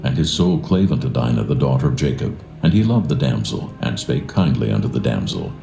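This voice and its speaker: A man narrating scripture in a dramatised reading, solemn and measured. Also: extremely low pitch, very animated, creaky. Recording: roomy echo, soft music behind.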